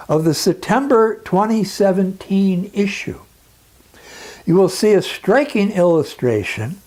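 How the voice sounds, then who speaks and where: A man delivering a scripted, persuasive lecture in a solemn, emphatic manner with dramatic pauses. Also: high-pitched, a little mellow.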